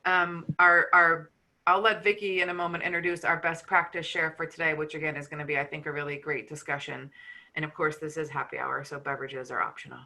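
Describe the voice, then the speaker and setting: A woman with a bright, forceful voice, pitched a little low, opening a video call for her regulars. She sounds upbeat and easygoing, laughs easily, and comes through a computer mic. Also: flat delivery, a little fast. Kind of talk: casual video-call chat and welcome.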